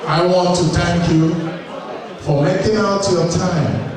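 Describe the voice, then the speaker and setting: A man giving a formal welcome address at a community gala, in slow, measured phrases with long pauses. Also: sits high. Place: large hall at a community gala. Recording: echoey hall, distant mic, crowd chatter.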